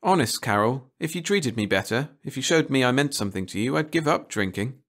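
Man as boozy male movie idol, vain, plaintive, self-pitying, raised pitch, audiobook character. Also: expressive, a little fast, a bit bright. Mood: pleading. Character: self-pitying, vain, hard-drinking male film star.

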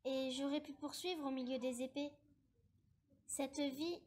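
A woman performing a bitter, ambitious male war minister in dramatic, declamatory theatrical verse, with measured phrasing. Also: sits high, steady tone, a little mellow. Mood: proud. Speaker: actor reading a verse monologue.

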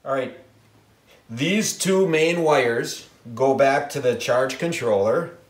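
A man in his forties giving a casual how-to explanation to camera in a plain, unhurried, halting way. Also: mid-range voice, expressive. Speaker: DIY video host. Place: inside a small unfinished wooden cabin with a steel ceiling.

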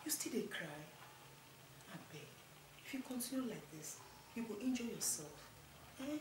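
An actress playing a woman friend who speaks in hushed, questioning Nigerian Pidgin. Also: medium pitch, big pitch swings, normal speed, roomy sound, background noise, natural voice. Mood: pleading.

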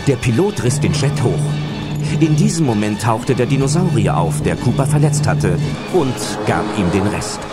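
A man's voice: mid-range voice, sing-song, moderate pace. A man reading scripted narration for a German audio drama. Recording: loud music under the voice.

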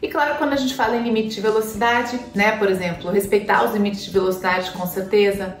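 A woman's voice: mid-range voice, steady tone, fairly quick, recorded from a distance. A woman in her thirties from Brazil, chatting to the camera with advice and many fillers, seated on a sofa in a living room. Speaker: pet vlogger. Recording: roomy, from off-mic, soft music.

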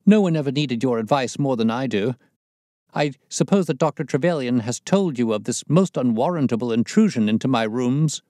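A man's voice: medium pitch, expressive, moderate pace, warm tone, dry recording, clean studio sound, pitched above the performer's own voice, natural voice. A man voicing a very fat, frightened storybook character, anxious and evasive, talking in a nervous, pleading way. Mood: agitated relief. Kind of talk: audiobook character voice.

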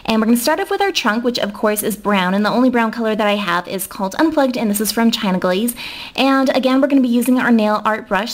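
A young American woman giving a casual, chatty step-by-step tutorial in an informal way. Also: fairly high pitch. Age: young adult. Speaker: nail art tutorial host.

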